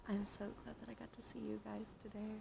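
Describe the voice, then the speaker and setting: A woman's voice: mid-range voice, little pitch movement, brisk, vocal fry, noisy background. A woman streamer talking casually to her viewers in an informal, slangy, chatty way. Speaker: livestream host, ASMR streamer. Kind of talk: casual livestream chat to viewers.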